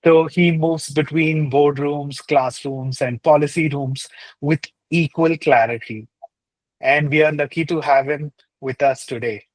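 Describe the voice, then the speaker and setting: A man's voice: fairly high pitch, fairly even intonation, unhurried, low-quality recording. A man hosting an interview show, reading a scripted introduction and asking questions in an upbeat way, dropping in "uh" and "right?". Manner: upbeat, uses fillers like "uh" and "right?". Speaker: podcast host.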